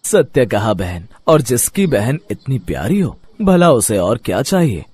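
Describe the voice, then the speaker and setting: A man voicing a benevolent, affectionate god and loving brother, with stately, formal speech, in an animated mythological story. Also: mid-range voice, a little slow, noisy background.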